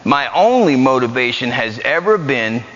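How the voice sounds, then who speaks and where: A man with a New York accent preaching a lively, joking sermon in a church. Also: mid-range voice, normal speed, slight background noise. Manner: animated, joking, repetitive. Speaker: guest preacher. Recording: muffled church hall recording.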